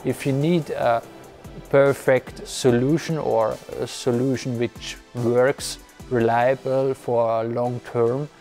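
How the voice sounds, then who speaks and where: A man talks through an installation in halting English, full of fillers and restarts. He speaks into a lapel mic in an equipment room, and faint music plays underneath. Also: medium pitch, fairly even intonation, darkish tone. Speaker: electrical installer. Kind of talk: interview.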